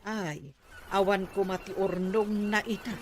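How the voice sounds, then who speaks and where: A man's voice: high-pitched, little pitch movement, measured pace. A man acting a skeptical farming villager in an Ilocano drama, speaking with an Ilocano accent. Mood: worried, grumbling. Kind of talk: amateur Ilocano radio-style drama acting.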